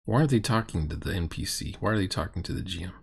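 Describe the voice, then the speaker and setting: A man's voice: sits low, expressive, rapid-fire, creaky, slightly rough. A middle-aged man giving critical, sarcastic commentary on a roleplaying game in a grumbling, mocking way. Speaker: commentator reacting to a recorded roleplaying session.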